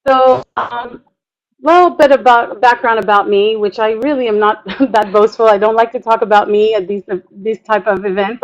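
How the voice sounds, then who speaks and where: A middle-aged woman from Montreal presenting informally as a coach, enthusiastic and chatty, often halting and interrupting herself. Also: on the high side. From Montreal, Canada; born in Israel. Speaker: business coach and public speaker. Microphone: online webinar audio. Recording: dull lo-fi web conference audio.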